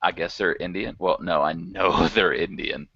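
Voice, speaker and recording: A man's voice: low voice, normal speed, creaky, bright, forceful tone, husky, no room sound. A man chatting casually as a podcast guest, rambling and chuckling often. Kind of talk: casual chat. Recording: dry, dull lo-fi recording.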